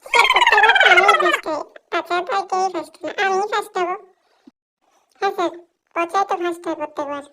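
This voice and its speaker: A performer voicing cheeky, boastful cartoon schoolchildren who whine and stall with exaggerated, drawn-out words. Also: very high voice, big pitch swings, very noisy. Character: cheeky schoolchildren, lazy, boastful, whining to their teacher. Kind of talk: comedy cartoon dub.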